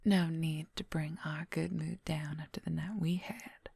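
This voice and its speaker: A woman's voice: low-pitched, fairly even intonation, a little slow, mellow, dry recording, exaggerated voice. A woman playing a possessive, wry female werewolf lover in an intimate, confiding whispered ASMR roleplay, close to the microphone. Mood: tender, sultry. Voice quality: breathy, husky whisper. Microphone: close microphone, dry.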